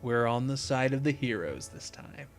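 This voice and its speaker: A man voicing a cool, calculating criminal in a story, with a controlled, smug, measured drawl. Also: mid-range voice, animated, soft background music, natural voice. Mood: dry, smug.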